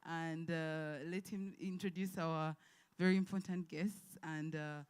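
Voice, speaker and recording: A woman's voice: low-pitched, fairly even intonation, a little slow, darkish tone, clear, resonant tone. A woman speaking as an event host, giving an informal, polite and somewhat hesitant welcome speech.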